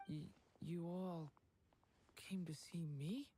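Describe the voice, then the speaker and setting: A woman voicing a gentle, shy young boy in a video game, hesitant and apologetic. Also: fairly low pitch, fairly even intonation, takes it slowly, breathy edge. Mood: timid, touched. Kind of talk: English video game voice acting. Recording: game music under the voice. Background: music.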